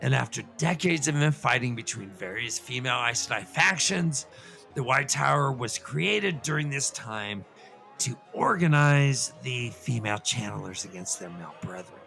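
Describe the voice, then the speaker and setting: Man playing a gravelly, rambling lore-lecturer puppet. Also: medium pitch, expressive, moderate pace, sharp tone, faint music behind. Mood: earnest, rambling. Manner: rambling, professorial, full of digressions and stammers. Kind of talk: semi-scripted comic puppet-show lecture.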